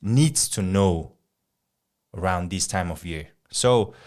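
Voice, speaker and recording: A man's voice: low-pitched, takes it slowly, darkish tone. A young man hosting a casual podcast interview, chatty and friendly with loose English phrasing. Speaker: podcast host.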